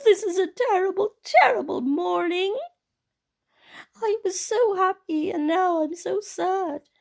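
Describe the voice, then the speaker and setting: A woman voicing a small, easily upset baby bear in a squeaky, childlike voice with wailing complaints. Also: takes it slowly, creaky, exaggerated voice.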